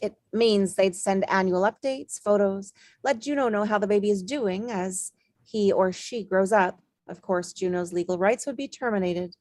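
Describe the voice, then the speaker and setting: A woman's voice: medium pitch, moderate pace, darkish tone, dry recording, close to an everyday voice. A woman reading the part of a formal, businesslike adoption lawyer who explains things in a screenplay table read. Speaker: actor in a live table read.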